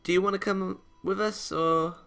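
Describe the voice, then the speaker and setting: Man putting on higher-pitched pushy anime schoolgirl. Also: steady tone, normal speed, gravelly, a bit bright, soft background music. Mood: friendly, inviting.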